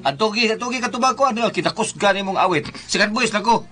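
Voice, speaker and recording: A man's voice: high voice, expressive, fast talker, over loud music. A man playing a singing drinking buddy in a radio drama, with a Cebuano Filipino accent. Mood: chummy.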